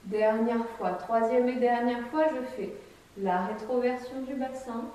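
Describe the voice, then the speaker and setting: A woman in her thirties leading a guided chair exercise class, calmly cueing each step while she demonstrates. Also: high voice. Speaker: fitness instructor. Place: bright empty room with wooden floor. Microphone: camera mic from a distance. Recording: echoey room, voice far from mic.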